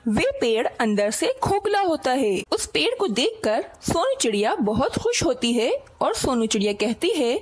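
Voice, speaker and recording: A woman's voice: high-pitched, big pitch swings, normal speed, hardly any pauses. A woman narrating a scripted children's story as its storyteller.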